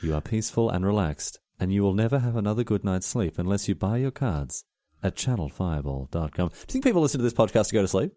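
A man's voice: fairly low pitch, very animated, fast, dark tone. A man with an Australian accent co-hosting a podcast, joking and sarcastic in casual banter. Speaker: podcast co-host.

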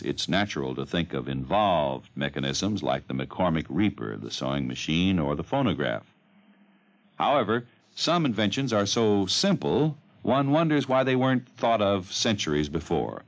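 A muffled vintage radio broadcast. A male announcer with a deep voice reads formal scripted copy in a measured, declamatory way, his intonation rising and falling widely. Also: very animated.

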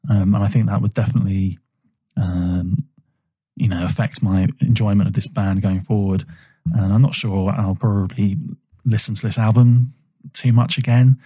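A man co-hosting a podcast, giving a measured, thoughtful album review with frequent ums. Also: lowish voice, fairly even intonation, warm tone, breathy edge, no room sound, rough recording. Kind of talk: casual review chat.

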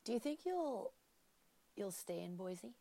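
A woman's voice: medium pitch, sing-song, slow, gravelly, warm tone, dry recording. A woman in casual chat as a podcast co-host, asking short curious questions.

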